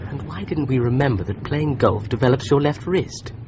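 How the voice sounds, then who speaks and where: Musing male sleuth, played by a man, calm inner voice, hiss under a dull radio-play recording. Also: mid-range voice, normal speed, natural voice. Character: thoughtful male amateur sleuth driving. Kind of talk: radio drama.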